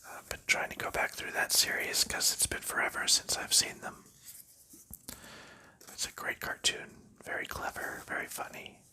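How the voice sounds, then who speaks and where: A man doing ASMR, whispering through rambling, unhurried chat with soft laughs while he sorts board game pieces. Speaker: ASMR creator.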